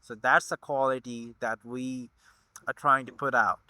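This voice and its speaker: A man's voice: medium pitch, steady tone, a little slow, pushed and bright, clear, resonant tone, no echo. Effusive podcast host man, dry sound. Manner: effusive, exclaims wow often. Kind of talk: podcast interview.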